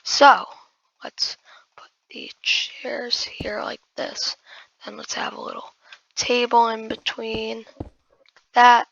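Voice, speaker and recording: A hoarse woman who has just woken up, giving casual gameplay commentary while building and often muttering in half-whispers to herself. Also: on the high side, flat delivery, slow pace, pauses often, a bit bright, no room sound, lo-fi sound. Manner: muttering half-whispered asides to self, addressing viewers as guys. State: hoarse, losing voice after just waking up. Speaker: gaming video creator.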